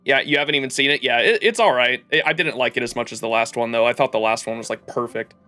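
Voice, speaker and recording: A man's voice: mid-range voice, quick pace, pushed and bright. A man livestreaming a game, chatting casually with viewers in slangy, jokey, profane talk. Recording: game music under the voice. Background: game music.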